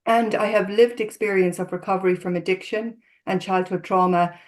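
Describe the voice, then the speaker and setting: A woman's voice: medium pitch, steady tone, normal speed. Earnest Irish woman addressing a recovery group. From Ireland. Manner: earnest, open and self-disclosing. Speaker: therapist and guest speaker. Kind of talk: talk to an online recovery group. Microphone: video call.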